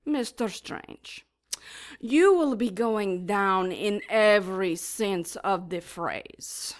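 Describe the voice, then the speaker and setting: An actress playing a taunting, smugly sadistic female demon in an audio drama, making mock-polite threats with deliberate menace. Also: mid-range voice, heavy background noise.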